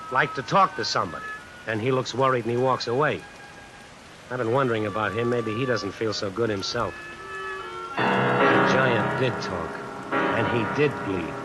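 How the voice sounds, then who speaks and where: An actor playing a brawling, boastful tough drifter with an American accent in 1940s radio suspense style. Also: male voice, medium pitch, lightly acted, rough recording. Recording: old radio recording under rain noise.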